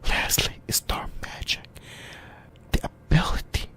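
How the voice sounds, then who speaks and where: A person whispering breathily in a halting ASMR explainer about anime lore, often repeating phrases. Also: bright, forceful tone. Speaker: YouTuber, ASMR host.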